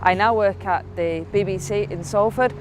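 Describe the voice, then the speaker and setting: A woman's voice: mid-range voice, moderate pace. A woman from Manchester, talking in a chatty, upbeat way in a documentary interview. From Manchester, England. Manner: chatty, upbeat, self-described jolly. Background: music.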